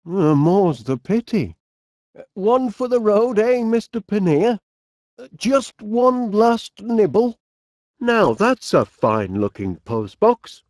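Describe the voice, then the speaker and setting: Bumbling middle-aged inventor, Northern English accent, unhurried pace, very animated pitch, genial, hesitant, comedy game character, close dry sound. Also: male voice, no echo. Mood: cheerful, hopeful.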